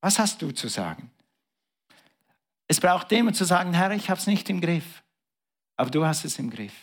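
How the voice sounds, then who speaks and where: A man preaching from the pulpit, his voice sitting a little high for a man. He swings from raised, excited bursts down to quiet, hushed asides, in the heightened style of a sermon. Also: normal speed. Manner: preaching with big swings in pitch and volume, dropping to a hush. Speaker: pastor.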